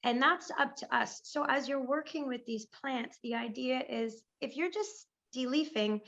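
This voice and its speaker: A woman recovering from a lost voice, so it squeaks now and then, speaking enthusiastically and off the cuff on a conference panel over a video call. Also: unhurried, gravelly, a bit bright, muffled recording. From Canada. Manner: enthusiastic, chatty, improvising. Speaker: panelist, pest management business owner.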